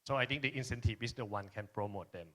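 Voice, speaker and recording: A man from Thailand with a Thai accent, a native Thai speaker, presenting informally at a conference in halting phrases with frequent checking tags. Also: medium pitch, a bit bright. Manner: halting, frequent tag questions "right?". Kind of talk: informal presentation and panel answer.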